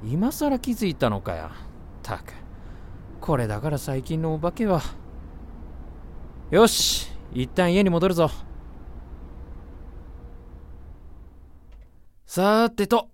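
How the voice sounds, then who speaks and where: A woman's voice: lowish voice, sing-song, measured pace, pauses often, slight background noise. A woman playing a blunt, grumpy but kind-hearted young man in an amateur voice drama. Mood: resigned, determined. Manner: rough, blunt masculine speech, exasperated retorts. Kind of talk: Japanese amateur voice drama acting.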